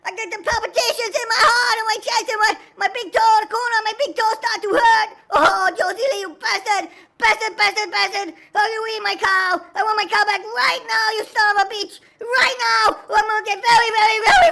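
A tiny cartoon man shrieks threats in a voice pitched sky-high, frantic and sputtering in broken speech. His tone is tense and sharp, with a gritty rasp that catches on the words. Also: steady tone, a little fast, exaggerated voice. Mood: tearful rage. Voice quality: shrill squeaky voice. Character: tiny enraged man ranting over his stolen cow. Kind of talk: cartoon voice acting.

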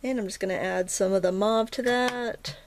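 A woman teaching a watercolor painting tutorial in a chatty, casual way, with many ums and restarts and a slightly hoarse morning throat. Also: medium pitch, fairly even intonation, moderate pace, slight background noise. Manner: chatty, self-interrupting, frequent ums and restarts. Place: indoors at a painting table.

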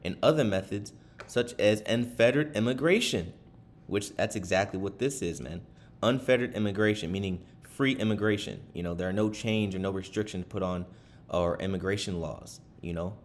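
A man's voice: on the low side, moderate pace, slight background noise. A man giving casual commentary over his screen, rambling with many fillers and restarts and reading passages aloud from a web page.

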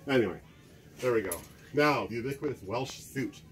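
A man curator chatting casually about clothes on a rack, often pausing to search for names, recorded by a camera in a museum basement storage room. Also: medium pitch, fairly bright tone, slight background noise. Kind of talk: casual show-and-tell chat.

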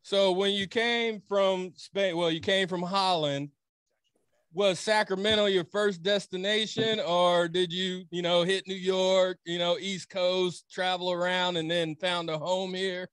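A man's voice: sits high, a little slow, clean tone, dry recording. A man, hosting a casual podcast from a studio. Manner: hyped, animated introductions. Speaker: podcast host. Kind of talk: casual chat. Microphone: studio podcast mic.

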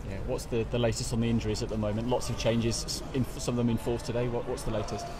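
A man's voice: medium pitch, level tone, fast talker. A man working as a sports reporter, putting post-match questions in a football stand. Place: large covered football stand. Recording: clear stadium background noise.

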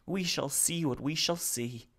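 A man voicing a grief-stricken little old male alien machine who speaks haltingly, as if lamenting. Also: fairly high pitch, a bit bright, pitched above the performer's own voice. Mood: mournful.

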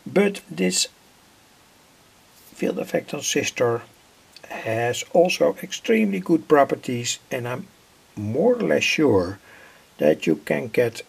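A man explaining a homemade electronic circuit informally, in a halting, deliberate way with pauses. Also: medium pitch, big pitch swings, gravelly, husky, poor audio. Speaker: electronics hobbyist presenter.

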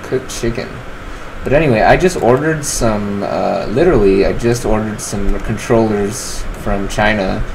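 A young man in his twenties talks through his game in a slow, rambling way, stopping often for fillers. His voice sits a bit low, and a steady air-conditioner hum runs underneath the home recording. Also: creaky. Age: 20s. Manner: rambling, filler-heavy, relaxed. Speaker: gaming channel host. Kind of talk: casual gameplay commentary.

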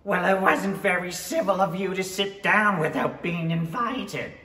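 A woman playing a contrary, excitable hare in an old-time radio play, pedantic and theatrical. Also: on the low side, measured pace. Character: contrary, excitable March Hare at a mad tea party. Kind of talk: 1930s-style radio play acting. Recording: echoey hall from far off.

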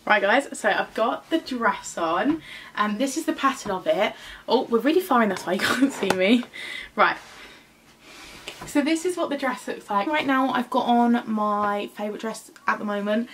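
A woman's voice: mid-range voice, normal speed, fairly bright tone. Young female vlogger, candid and chatty, bedroom try-on to camera, light hiss. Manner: chatty, frank, many "I feel like" fillers. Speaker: fashion vlogger. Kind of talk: casual try-on chat to camera. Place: small bedroom at home. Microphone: camera mic at arm's length.

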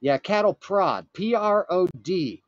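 A man playing a frantic, earnest time traveler from the future on a prank call, urgent, pleading and halting with dramatic pauses. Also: high voice, big pitch swings, no echo, lo-fi sound. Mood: earnest, improvising. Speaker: prank caller. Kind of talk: improvised comic prank phone call.

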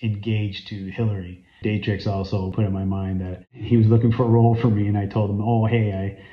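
A man's voice: fairly low pitch, little pitch movement, a little fast. A Canadian man in his forties talks earnestly and enthusiastically to his phone camera in a small room, with plenty of ums and uhs. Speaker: fan site founder and interviewee. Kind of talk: casual interview talk to camera. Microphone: phone camera at arm's length. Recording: roomy, slightly dull phone audio.

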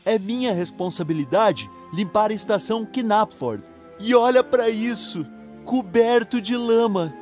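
A fussy, vain cartoon locomotive, complains in a high male voice. He is whiny and theatrical, with a Brazilian accent and faint music underneath. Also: expressive, measured pace, darkish tone. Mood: fretful. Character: fussy, fretful, vain male steam engine. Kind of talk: children's cartoon dub acting.

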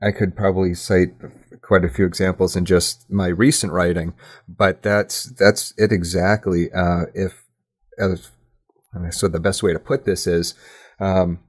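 A man with a low-pitched voice talks as a guest in a conversational interview. He is reflective and thoughtful, often halting and restarting as he works out what he means. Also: flat delivery, breathy edge. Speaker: interview guest, audio drama writer.

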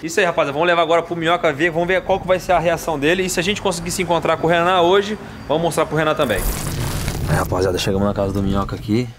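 A man in his thirties, a car vlogger, chatting in fast slangy banter outdoors while filming with a handheld camera. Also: a little high, background noise. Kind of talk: casual vlog chat with friends. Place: outdoors and garage, street.

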